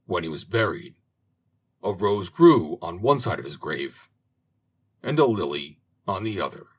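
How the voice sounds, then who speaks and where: A man reading an old fairy tale aloud as an audiobook narrator, in a slow, expressive manner. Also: deep voice, breathy edge. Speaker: audiobook reader. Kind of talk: storybook narration.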